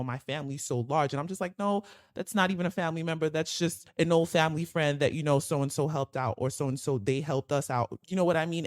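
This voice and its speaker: Young american guy on a podcast, kinda high voice, emphatic, dry close mic. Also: no room sound. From United States. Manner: emphatic, thinks aloud with uneven pace. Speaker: podcast co-host. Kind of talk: casual discussion.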